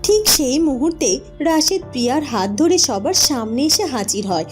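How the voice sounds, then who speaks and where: A woman's voice: high-pitched, animated, normal speed, soft background music. A woman giving scripted storybook narration for a cartoon folk tale.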